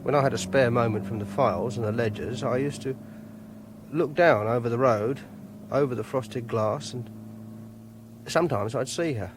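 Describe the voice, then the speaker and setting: An actor playing an obsessive, lonely, self-pitying English clerk, confiding haltingly in a first-person radio drama monologue. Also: male voice, medium pitch, noisy background, natural voice. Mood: wistful, secretive.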